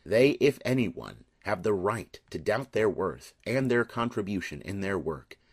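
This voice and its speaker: A man reading a personal letter aloud in an earnest, measured, deliberate way. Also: lowish voice, very animated, husky, no echo, studio quality. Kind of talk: scripted reading of a heartfelt letter.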